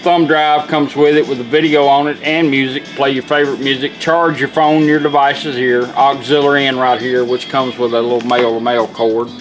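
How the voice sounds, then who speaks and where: A man's voice: mid-range voice, little pitch movement, brisk, few pauses, poor audio. Folksy man over background music. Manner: folksy, plainspoken. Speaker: presenter demonstrating a restored car radio. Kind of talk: informal product demonstration.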